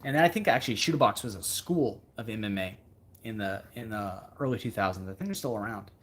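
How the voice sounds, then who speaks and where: A man hosting a casual livestream as a fishing video creator, answering viewer comments in a rambling, think-out-loud way and repeating himself for emphasis. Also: fairly low pitch, expressive, quick pace.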